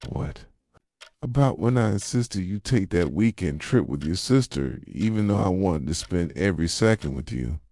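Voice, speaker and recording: Possessive yandere boyfriend played by a man for ASMR roleplay: extremely deep, soft, manipulative and insistent, closely miked and dry. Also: lively intonation, normal speed, no room sound. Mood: tender, reproachful. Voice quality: soft, low, breathy at times. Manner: intimate, insistent, pleading and accusing. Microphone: close microphone, dry sound.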